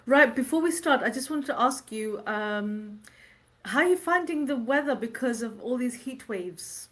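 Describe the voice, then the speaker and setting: A woman's voice: fairly high pitch, animated, moderate pace, a bit bright. A woman hosting an interview webinar, chatty and upbeat as she prompts her guest with questions. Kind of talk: casual interview.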